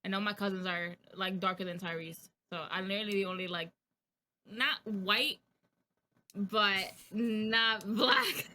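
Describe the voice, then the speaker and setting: A woman's voice: medium pitch, a little slow, pushed and bright. A young woman co-hosting a podcast in casual chat, joking, swearing and playing mock-indignant. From Dominican heritage, United States. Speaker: podcast co-host.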